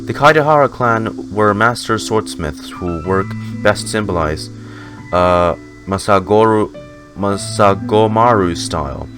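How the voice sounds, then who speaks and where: A man with a low voice does a casual gaming stream, reacting and reading lore aloud. He trips over a word now and then, and guitar-laced game music plays clearly behind him. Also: expressive, unhurried.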